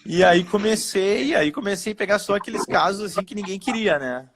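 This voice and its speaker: A man's voice: high voice, a little fast, a little hiss or hum. A man who works as a personal trainer, telling his story casually and acting out the dialogue. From Brazil. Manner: animated storyteller, acts out dialogue.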